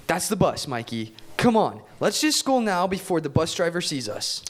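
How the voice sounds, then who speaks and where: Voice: medium pitch, sing-song, normal speed. Performer playing an earnest, insistent teenage kid. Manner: urgent, insistent.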